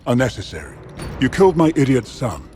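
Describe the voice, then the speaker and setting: A man's voice: medium pitch, very animated, fairly quick, darkish tone, a little hiss or hum, close to an everyday voice. A man voicing a brash older barbarian clan chief in a video game, blunt, mocking and unrepentant. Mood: gruff amusement. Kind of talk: video game cutscene acting.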